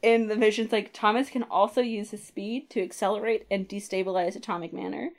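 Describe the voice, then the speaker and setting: Woman, podcast host, casual recap style, medium pitch, playful, slips into quoted dialogue, conversational asides. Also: fairly even intonation, brisk. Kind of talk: casual chat recapping a comic.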